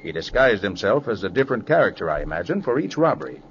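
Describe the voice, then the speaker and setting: An actor playing a calm, confident detective in a 1940s radio drama, explaining the case in a self-assured way. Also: male voice, lowish voice, fast talker. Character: Nick Carter, calm confident male detective. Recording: dull 1940s radio recording with faint noise.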